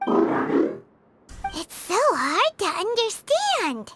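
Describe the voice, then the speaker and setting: A woman voicing a tiny, eager, childlike female companion creature in a video game, with a squeaky, exclamatory delivery. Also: wildly swooping pitch, very slow, exaggerated voice. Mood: puzzled, explaining. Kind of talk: video game character acting. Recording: music behind the voice.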